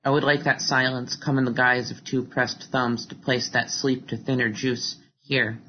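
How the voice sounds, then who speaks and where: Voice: medium pitch, flat delivery, moderate pace. A poet reading their own work aloud as a literary recitation, in a slightly dull recording. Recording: dull recording with faint noise.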